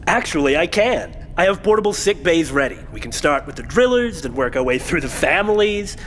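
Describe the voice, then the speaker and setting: An actor playing a scornful, scheming aristocrat in a science fiction audio drama, with a haughty, sneering delivery. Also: male voice, a little high, very animated, brisk, a bit bright. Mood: smug, scheming. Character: scornful, scheming male aristocrat count, coldly callous. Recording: long hall reverb with background noise.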